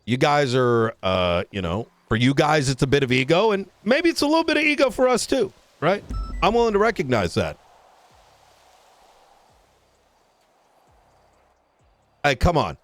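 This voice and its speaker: A man's voice: fairly high pitch, wildly swooping pitch, fairly quick. A man playing a blunt, foul-mouthed police chief in an improvised roleplay argument, talking casually and argumentatively. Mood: defiant, bargaining.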